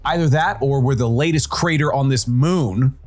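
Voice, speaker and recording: A man voicing a defiant veteran space smuggler, straining to shout in an audio drama. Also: medium pitch, normal speed, soft background music, voice pushed up, close to an everyday voice. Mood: strained, defiant. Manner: strained shouting through gritted teeth.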